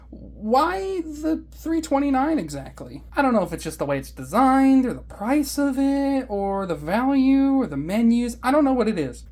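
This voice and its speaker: A woman giving a casual, rambling hobbyist review in a vlog, with an animated, enthusiastic and playfully grumbling manner. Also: medium pitch. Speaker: hobbyist reviewer of weather radios.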